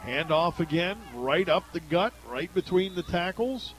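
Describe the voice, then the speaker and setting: A man with an American accent calls a football game live for radio as the play-by-play announcer. His voice sits fairly high for a man, and he follows each play in the clipped phrasing of sports commentary. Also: animated, a little slow, a bit bright.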